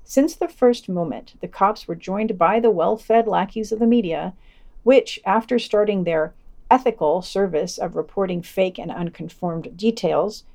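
A woman's voice: fairly low pitch, creaky, darkish tone. A woman reading scripted podcast news summaries and quoted articles, with wry editorial touches.

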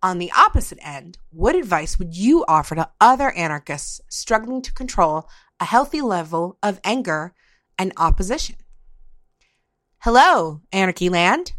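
A woman podcast host with a slightly low voice, recorded close and dry. She speaks in a conversational way, as someone leading a discussion. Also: big pitch swings, normal speed, a bit bright, dry recording.

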